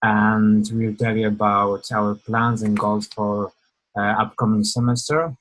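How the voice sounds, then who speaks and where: A man's voice: on the low side, flat delivery, unhurried, a bit bright, low-quality recording. A young man from Poland, giving an informal webinar presentation over a video call, hesitant with many fillers and restarts.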